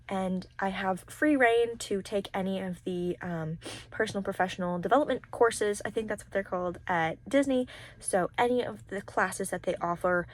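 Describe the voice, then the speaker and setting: A young adult woman giving a casual vlog-style recap, talking in an informal, halting and rambling way. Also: medium pitch, no room sound. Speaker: vlogger, college program applicant.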